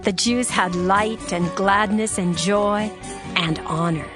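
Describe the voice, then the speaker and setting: Woman narrator, mid pitch, steady formal scripture reading over a music bed. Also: slow. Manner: steady, formal storytelling cadence. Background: soft music.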